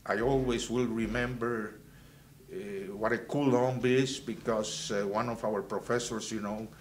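A man's voice: medium pitch, fairly even intonation, a little hiss or hum. Older guy w/ reminiscing about college, lots of uhs and restarts, sitting indoors. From Venezuela, raised in Guatemala. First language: Spanish. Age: 70s. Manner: halting, many fillers and restarts, gestures while talking. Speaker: alumnus interviewee. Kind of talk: reminiscing interview. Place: indoors, plain backdrop.

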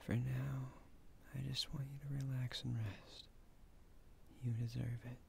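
Male actor as nerdy young husband, bashful and tender, soft half-whisper, gravelly fry, slight rumbly noise, ASMR audio roleplay. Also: level tone. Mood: soothing. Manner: soft, breathy, often half-whispered, unhurried. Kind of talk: intimate ASMR boyfriend roleplay.

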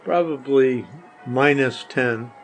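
A man's voice: mid-range voice, slow, soft background music. An older man, a podcast guest, answering questions in casual conversation. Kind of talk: casual chat.

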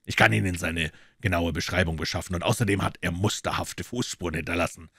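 A man reading as a quiet, shrewd detective. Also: deep voice, gravelly, husky, no room sound, studio quality, exaggerated voice. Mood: calm. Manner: measured, quiet, matter-of-fact. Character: calm, shrewd male detective, middle-aged.

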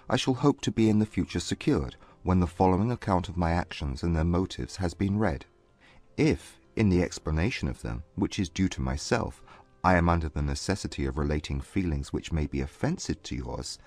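An actor playing a proud, haughty young Regency gentleman in a dramatized audiobook, speaking in a formal, composed, measured way. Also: low voice, no room sound. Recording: dry close recording with music behind. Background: music.

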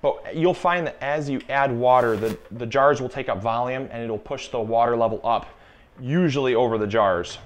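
A man's voice: medium pitch, normal speed. Young man, casual instructive tone, repeats key warnings, home kitchen, lapel mic. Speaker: gardening channel host. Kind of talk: casual cooking demonstration.

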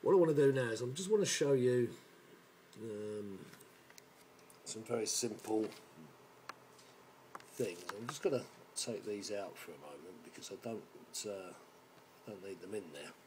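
A man gives an informal software tutorial, explaining step by step in a halting way. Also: on the low side, expressive. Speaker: software demonstrator. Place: indoor room, filming a computer screen. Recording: noisy amateur camera microphone recording.

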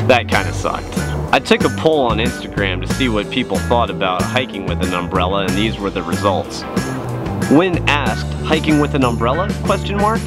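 Laid-back young man reviewing hiking gear. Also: fairly low pitch. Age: young adult. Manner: relaxed, plain-spoken, many "uh"s. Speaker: hiking vlogger. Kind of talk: casual gear review to camera. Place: outdoors on a deck by trees.

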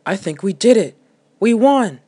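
A man's voice: high voice, lively intonation, unhurried, very noisy. A man playing a matter-of-fact, knowing member of an adventuring team in an amateur comedy radio drama.

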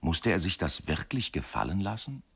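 Radio-play narrator: reflective man, low register, measured and expressive literary storytelling. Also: vocal fry, breathy edge, exaggerated voice. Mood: puzzled, wondering. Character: reflective male narrator recalling a riverside boyhood.

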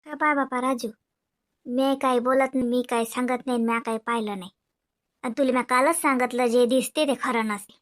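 A woman voicing a calm older aunt who gives quiet advice. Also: close to an everyday voice. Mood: gently advising. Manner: slow, low, soothing and wise.

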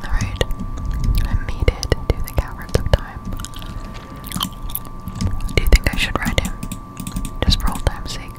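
A young woman speaks entirely in a soft, breathy whisper, very close to the microphone. She gives ASMR-style commentary over gameplay, thinking aloud in a loose, rambling way. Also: a little slow, pauses often, low-quality recording. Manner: rambling, musing aloud to herself. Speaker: gameplay streamer. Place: indoors at a home computer. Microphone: close-up desktop condenser mic.

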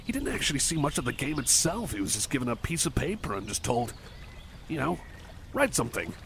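A man plays a shy cyborg pal with a gravelly, crackling edge to his voice. He rambles in hesitant bursts like a kindly game-music nerd in an ASMR roleplay, with clear outdoor noise and birdsong around him. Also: medium pitch, sing-song, fairly bright tone. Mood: enthusiastic, rambling. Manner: hesitant, halting, rambling, apologetic. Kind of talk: roleplay ASMR, casual one-sided chat. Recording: noisy outdoor ambience with birdsong.

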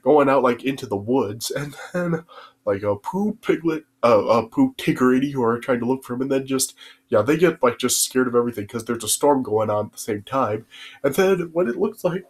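A man's voice: mid-range voice, animated, moderate pace, breathy edge. A young man with a stuffy nose from a cold, rambling through a casual video review full of fillers and restarts, recorded on a webcam in a small bedroom. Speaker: YouTube reviewer. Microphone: webcam at desk distance.

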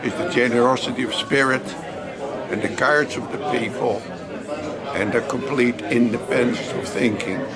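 A man in his seventies from the Netherlands giving a reflective testimonial to camera in deliberate, halting phrases. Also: medium pitch, fairly even intonation. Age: 70s. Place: indoor public venue. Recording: loud crowd chatter behind.

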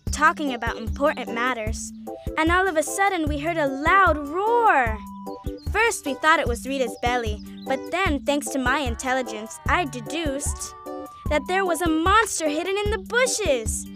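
An actor voicing an excitable, fearful little animal in a children's cartoon, dramatic and a bit boastful. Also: high-pitched, normal speed, music in the background. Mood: self-important. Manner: dramatic, whiny when frightened.

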